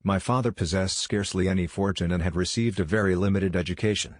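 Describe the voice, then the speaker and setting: A man narrating scripted quotations in a measured, formal manner. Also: sits low, fairly even intonation, dry recording, clean studio sound. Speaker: quote narrator.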